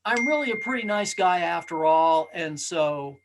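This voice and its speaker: Male storyteller, high register. Earnest, dramatic delivery of a spoken parable, emphatic, playing out the dialogue. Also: lively intonation, moderate pace.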